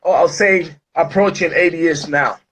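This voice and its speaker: A man's voice: on the high side, normal speed, a bit bright. A man from Ghana, speaking as a guest in an online interview in an upbeat, motivational way with many fillers.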